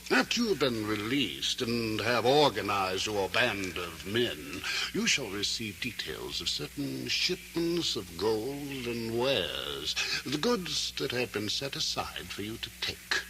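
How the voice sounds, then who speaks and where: An actor playing a scheming medieval sheriff in a radio drama, pompous and formal in a measured delivery, on a noisy old recording. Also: male voice, lowish voice, sing-song, heavily acted. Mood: sly, assured. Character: scheming, haughty medieval sheriff serving the crown.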